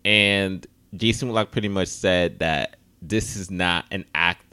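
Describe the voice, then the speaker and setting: A man's voice: low voice, unhurried, bright, forceful tone, smooth, no echo. A man hosting a casual sports talk podcast, speaking in a conversational, opinionated way. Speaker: podcast host.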